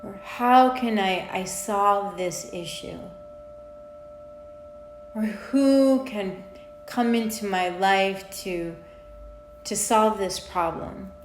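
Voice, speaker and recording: A woman leading an unscripted guided meditation in an informal, conversational way, with halting pauses. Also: medium pitch, faint music behind. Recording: roomy echo on the voice.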